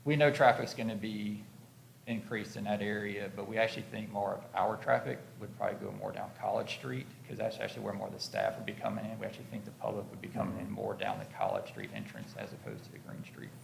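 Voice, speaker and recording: A man's voice: on the low side, animated, fast, vocal fry. An American man, an architect, explaining a project and answering questions at a public hearing. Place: public meeting room. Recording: roomy echo, faint background noise.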